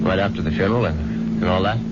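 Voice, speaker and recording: An actor playing a smooth, greedy, murderous husband in a 1970s radio drama, suave, unctuous and smug. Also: male voice, sits low, fast, heavy background noise, lightly acted. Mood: irritated. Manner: suave, unctuous, smugly self-satisfied. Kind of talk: 1970s radio drama acting with confiding narration. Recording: dull 1970s radio recording, loud music behind.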